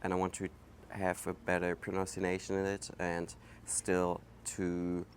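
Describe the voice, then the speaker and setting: Slow, deep-voiced German man talking outdoors. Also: completely flat delivery. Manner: halting, thinking aloud with many fillers. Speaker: podcast host. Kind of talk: casual solo podcast monologue. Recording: faint outdoor background noise. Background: outdoor ambience.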